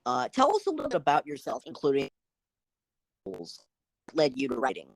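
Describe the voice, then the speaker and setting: A woman hosting a podcast interview, introducing the show and asking questions in loose, lively phrasing. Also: deep voice, brisk, gravelly, no room sound. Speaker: podcast host.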